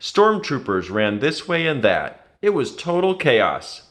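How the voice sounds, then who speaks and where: A man's voice: medium pitch, very animated, slow, a bit bright. A man reading a children's adventure story aloud with dramatic, emphatic storytelling. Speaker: storybook reader.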